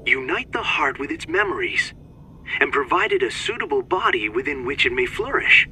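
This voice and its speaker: An actor playing an earnest young male researcher, speaking in measured, formal phrases in a video game cutscene. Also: medium pitch, sing-song, bright, forceful tone, a little hiss or hum, full character voice, poor audio. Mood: scholarly, intrigued. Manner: measured, precise, formal reading.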